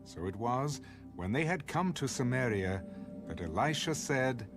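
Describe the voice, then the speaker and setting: A man's voice: mid-range voice, sing-song, a little slow, music in the background. A man narrating scripture from a script in a dramatic audio Bible style.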